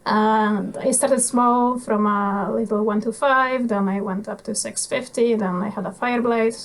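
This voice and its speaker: A young woman in her twenties speaks with a Polish accent, her voice sitting a little high. She talks in a relaxed, almost offhand way, halting often on small fillers as she gathers her thoughts. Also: low-quality recording. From Poland. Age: 20s. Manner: laid-back, nonchalant, halting with many fillers. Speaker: guest motorcycle traveller. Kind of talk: casual interview chat.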